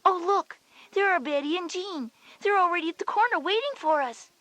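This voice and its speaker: A schoolgirl older sister, chatty and sure of herself in a children's audio drama. Her voice is high and closely recorded with very little room, and she explains things in a reassuring, big-sisterly way. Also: expressive, a little fast, a bit bright, no room sound. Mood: eager.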